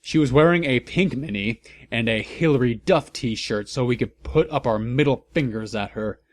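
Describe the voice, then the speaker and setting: A man giving a comedic, mock-serious dramatic reading as a narrator, with theatrical, deliberate emphasis. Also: mid-range voice, no room sound.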